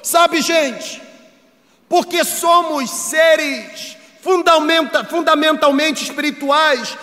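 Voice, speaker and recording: A man's voice: very high voice, slow pace, bright, forceful tone. A man with a Brazilian accent preaching a sermon to a congregation, speaking emphatically and repeating phrases for rhetorical effect. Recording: echoey hall with long reverb.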